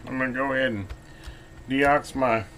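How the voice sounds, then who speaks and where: A man's voice: medium pitch, fairly even intonation, moderate pace, fairly bright tone, slight background noise, rough recording. An American man giving a casual, hands-on demonstration, talking informally and folksily, half to himself as he works. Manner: folksy, informal, muttering to himself while working. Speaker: repair vlogger.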